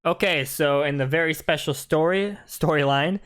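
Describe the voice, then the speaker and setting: A man's voice: fairly high pitch, moderate pace, fairly bright tone, soft background music. A man giving casual, chatty, explanatory commentary over a video game walkthrough.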